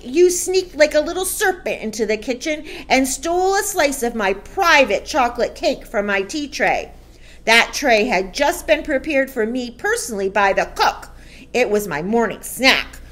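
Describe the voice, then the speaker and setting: A woman voicing a tyrannical, contemptuous headmistress in a storybook, barking and booming out theatrical insults. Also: fairly bright tone, exaggerated voice.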